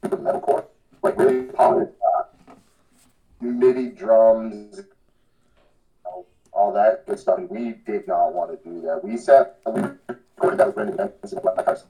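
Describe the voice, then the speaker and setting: A man with a low, dark and relaxed voice talks casually as an interview guest. He rambles earnestly through his answers in loose, slangy speech. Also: moderate pace, pauses often, soft background music, low-quality recording. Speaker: podcast guest, hardcore band vocalist.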